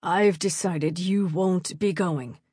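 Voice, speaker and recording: Woman voicing a stern, gruff baron father. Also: on the low side, slow, darkish tone, dry recording, studio quality. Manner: gruff, commanding. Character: stern, angry baron father, middle-aged man.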